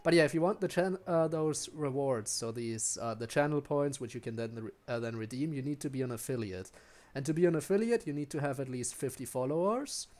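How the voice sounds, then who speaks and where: Male streamer, mid-pitched, rambling casually. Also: lively intonation, a little fast, a little mellow. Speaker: game streamer. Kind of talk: casual livestream commentary. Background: faint music.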